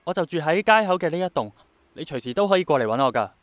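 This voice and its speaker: An actor playing an idealistic, gentle young man in a radio drama, reflective and earnest, with a Hong Kong accent. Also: on the high side, lively intonation, fast, no echo, faint music behind, lightly acted. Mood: gentle, encouraging. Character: idealistic, persistent young man longing for rain to return.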